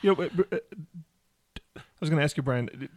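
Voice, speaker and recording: A man's voice: on the high side, a little fast, a little mellow, no room sound. A man of fifty hosting a podcast, rambling through his questions with restarts. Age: 50s. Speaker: podcast host. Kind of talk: casual interview chat.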